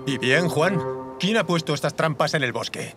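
Casting: male actor for reasonable cartoon villager. Earnest, firm, slightly higher-pitched male voice. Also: animated, moderate pace, music in the background, close to an everyday voice. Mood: accusing. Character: reasonable, fair-minded village man confronting a hunter. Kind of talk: animated series dub acting.

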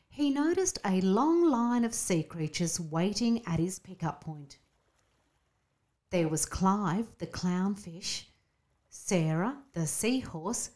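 Female children's story narrator, unhurried, expressive storybook read with animated melody. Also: mid-range voice.

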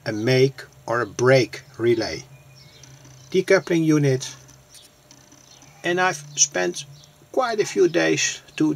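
A man giving an unscripted hands-on demonstration as a hobbyist presenter, explaining things in a halting, deliberate way. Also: medium pitch, vocal fry, husky.

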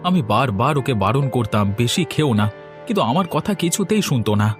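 A man's voice: medium pitch, animated, moderate pace, natural voice. A man playing an anxious, deferential husband in a cartoon, worried and pleading. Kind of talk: cartoon dialogue. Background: instrumental music.

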